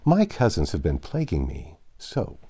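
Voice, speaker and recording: A man voicing a gossipy, excitable, vulgar young Regency woman in a storybook reading, chattering on in run-on sentences. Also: deep voice, a little slow, husky, voice pushed down, heavily acted. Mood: pleased chattering.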